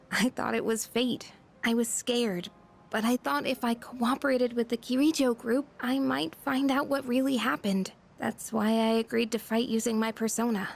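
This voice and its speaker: A woman's voice: on the high side, vocal fry. An American woman voicing an emotional, bitter, grieving teenage schoolgirl in a video game. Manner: emotional, vulnerable, confiding. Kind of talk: English video game voice acting.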